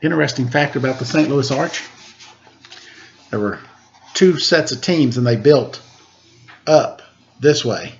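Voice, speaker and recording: A man reading a children's picture book aloud, expressive and sing-song on the rhymes, with chatty asides. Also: mid-range voice, a little slow, pauses often, a little hiss or hum. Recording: dull lo-fi sound.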